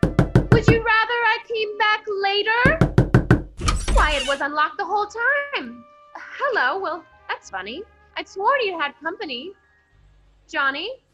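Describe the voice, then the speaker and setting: A woman's voice: very high-pitched, expressive, unhurried, pauses often, music in the background. An actress playing a ditzy, eager and brazen young woman sleuth in a comic old-time radio play, breezy and chatty. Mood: breezy, cheerful. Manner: breezy, chatty, blithely unconcerned.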